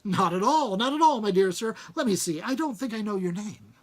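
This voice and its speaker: A man's voice: high-pitched, expressive, fairly quick. A man voicing a fussy middle-aged hobbit homebody, politely chatty and set against adventure, in a storybook reading. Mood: huffy, polite.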